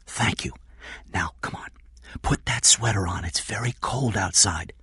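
A man voicing an anxious, determined young woman in an earnest, urgent, hushed manner. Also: lowish voice, big pitch swings, measured pace, slightly rough, no room sound, studio quality, pitched above the performer's own voice, heavily acted. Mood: urgent whisper.

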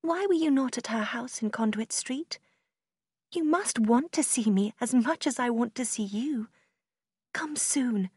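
A heartbroken young woman, acted out by a female narrator, pitched high and full of emotion. She is passionate and self-pitying, pleading and tearful in the manner of an audiobook of a classic novel. The sound is close and dry. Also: animated, measured pace, a little mellow, breathy edge, no echo. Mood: eager, yearning. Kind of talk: audiobook character acting in a simplified classic novel.

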